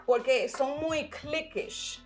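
Lively woman storyteller. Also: high voice, extremely slow, soft background music. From Dominican-American. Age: adult, mother. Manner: animated storyteller, drops into English phrases. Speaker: guest writer and community organizer. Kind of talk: casual interview chat.

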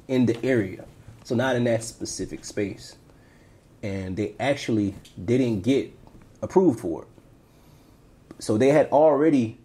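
Brief: man from Tampa, low mellow husky voice, reflective podcast co-host telling his story. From Tampa, Florida, US. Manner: reflective, trails off quietly. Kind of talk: casual interview storytelling.

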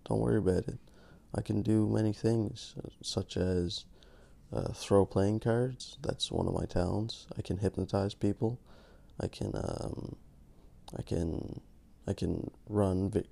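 A man hosting his podcast in a casual, rambling monologue, gravelly from just waking up, joking at his own expense. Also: sits low, warm tone. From Canada. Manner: rambling, self-mocking, many restarts. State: just woke up. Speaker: podcast host.

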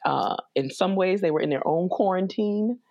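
Chatty host, mid pitch, asks follow-ups, quick little asides. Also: creaky, muffled recording. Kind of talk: casual interview.

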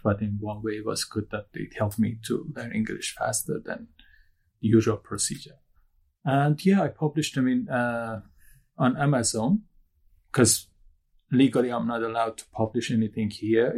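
A low, gravelly voice with a husky edge belongs to a young man from Iran whose mother tongue is Turkish. He speaks English, halting and restarting, and slips in dry jokes. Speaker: guest. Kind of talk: candid interview conversation.

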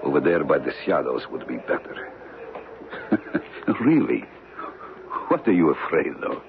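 A very deep, gravelly radio villain. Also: male voice, very animated, narrow, tinny audio, heavily acted. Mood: mocking, menacing. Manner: formal, deliberate, mocking. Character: smooth, menacing, suspicious male villain, coolly condescending. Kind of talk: old-time radio drama villain acting. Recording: thin, noisy old radio broadcast.

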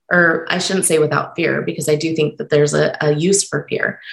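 A woman hosting a solo podcast, talking unscripted and encouraging while stumbling over her words, her voice croaky from being sick. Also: sits low, echoey room, low-quality recording. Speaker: podcast host.

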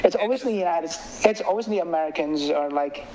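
Higher-voiced Indonesian-accented man, rambling. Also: fast talker, noisy background. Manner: animated, rambling, peppers talk with "like" and "you know". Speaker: filmmaker and writer being interviewed. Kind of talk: casual interview chat.

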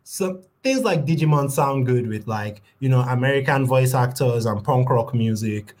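A man's voice: medium pitch, normal speed, darkish tone. Amused man in casual podcast chat. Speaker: studio co-founder guest.

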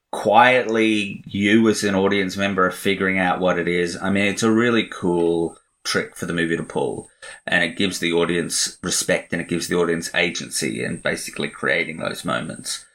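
A man's voice: low voice, a bit bright. A man co-hosting a podcast, giving rambling, opinionated movie talk with many fillers. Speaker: podcast co-host. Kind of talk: casual movie review chat. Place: podcast recording.

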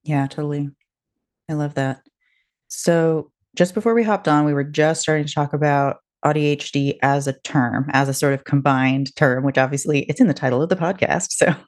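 Informal, affirming woman podcast host. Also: deep voice. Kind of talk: casual conversational interviewing.

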